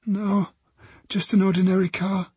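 Man voicing a high, husky, sullen teenage girl. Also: little pitch movement, measured pace, warm tone, dry recording, exaggerated voice. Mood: subdued, uneasy. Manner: sullen, wary. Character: frightened, defiant injured teenage girl witness. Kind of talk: audiobook character voice.

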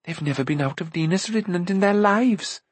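Guy doing a bossy adventurous young princess from a storybook, voice pushed up high, chattering away indignantly. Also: breathy edge, no room sound. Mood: excited, scornful. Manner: rushed, breathless, exclaiming chatter.

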